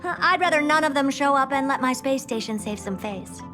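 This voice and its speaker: A woman's voice: high voice, expressive, brisk, over music. A woman voicing a cocky, blunt young genius in a game, with a dry, sassy, flippant manner. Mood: wry, dismissive. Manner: dry, sassy, casually superior. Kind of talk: scripted game character dialogue.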